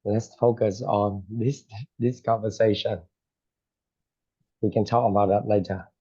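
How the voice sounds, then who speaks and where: A man teaching an English lesson, slow and hesitant, with lots of fillers and self-corrections. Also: lowish voice, steady tone, dark tone, slightly rough, dull audio.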